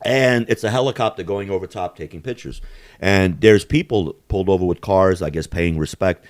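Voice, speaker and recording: A man's voice: low-pitched, moderate pace, no echo, studio quality. A man co-hosting a podcast, telling stories in crude, profane casual chat. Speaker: podcast co-host.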